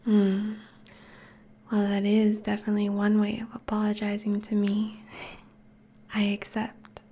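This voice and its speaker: A woman playing a teasing, affectionate vampire girlfriend in an intimate ASMR roleplay, speaking softly in a breathy, whispery voice. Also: medium pitch, monotone, measured pace. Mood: affectionate, playful. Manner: soft, teasing, languid.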